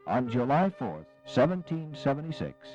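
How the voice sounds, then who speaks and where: A man serves as the solemn narrator of a dramatized history. His voice is dark and mellow, with lively rises and falls in a formal, measured read. Faint music sits behind the slightly muffled recording. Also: mid-range voice, close to an everyday voice.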